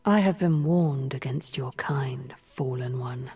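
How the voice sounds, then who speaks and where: An actress playing a cold, scheming sorceress villain in a video game, slow and theatrical with menace, in a hushed, breathy voice. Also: female voice, extremely low pitch, exaggerated voice. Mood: menacing, taunting. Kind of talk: video game character voice acting. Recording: game voice over background music.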